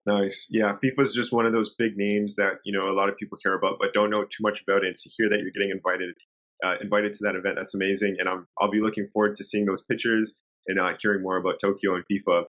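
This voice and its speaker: A man's voice: lowish voice, flat delivery, fast, fairly bright tone, low-quality recording. A man hosting an interview, asking questions in an upbeat, encouraging way. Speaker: interview host.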